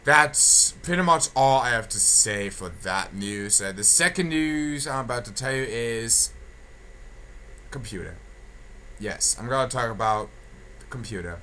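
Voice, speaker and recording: Bright-voiced young man, halting and repetitive. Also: medium pitch, expressive. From England. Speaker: video creator giving channel updates. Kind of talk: casual audio log update. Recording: clear background noise.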